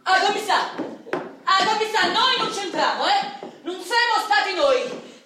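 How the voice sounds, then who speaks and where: An actress playing a working-class Roman wife of a petty crook, streetwise and theatrical, with a Roman accent, in a radio drama. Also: female voice, extremely high pitch, lively intonation, measured pace. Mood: pleading, insistent. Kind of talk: stage-style radio drama acting. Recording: distant, very echoey hall with faint noise.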